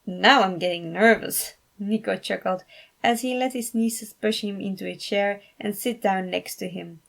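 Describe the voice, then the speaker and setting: A woman's voice: mid-range voice, fairly even intonation, moderate pace, vocal fry. A woman reading scripted fan fiction aloud as its narrator.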